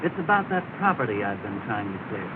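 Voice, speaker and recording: A man's voice: lowish voice, very animated, fairly quick. Polite, earnest young man, respectful early-talkie delivery on a thin, noisy soundtrack. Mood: earnest, hopeful. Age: young adult. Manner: respectful, sincere. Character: earnest, polite, affable young gentleman newly arrived north. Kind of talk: early 1930s film acting. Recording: noisy, tinny 1930 film soundtrack.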